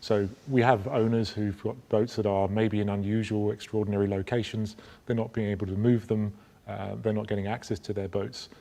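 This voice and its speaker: A man answering interview questions about a yacht dealer network, measured, with frequent ums and restarts. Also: lowish voice, flat delivery, gravelly, warm tone, long reverb tail. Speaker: company spokesman.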